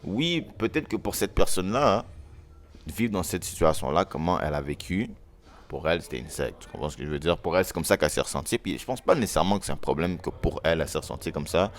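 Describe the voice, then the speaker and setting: A man's voice: low-pitched, animated, fast talker. A young man from Quebec with a Quebec French accent, a podcast guest explaining his view and often checking in with his listeners. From Quebec, Canada. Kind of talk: casual chat.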